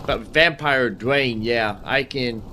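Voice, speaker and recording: A man's voice: medium pitch, slow, pushed and bright, slight background noise. A man giving casual gameplay commentary in a folksy, rambling way, explaining things and talking to himself. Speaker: gaming host.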